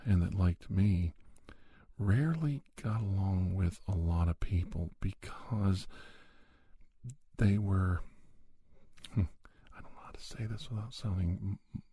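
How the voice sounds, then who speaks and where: A man speaking softly in an ASMR monologue, in a halting, reflective manner, as a podcast host. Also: deep voice, breathy edge, no echo. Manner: slow, halting, reflective delivery. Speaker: podcast host playing a conversation counselor. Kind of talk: soft-spoken ASMR monologue.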